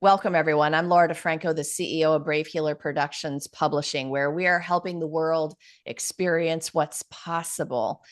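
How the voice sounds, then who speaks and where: Upbeat woman interview host, low-pitched voice, enthusiastic and warm-hearted in her praise, a few ums. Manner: upbeat, grateful, with fillers. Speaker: interview host, publishing CEO.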